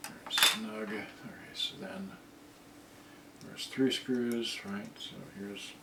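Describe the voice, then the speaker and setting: Guy mumbling to himself while fixing stuff, stop and start, really slow, loud noise and clicky clatter. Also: mid-range voice, sing-song, echoey room, far from the mic. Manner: halting, talking to himself while working. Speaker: repair video host. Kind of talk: casual muttered hands-on repair commentary.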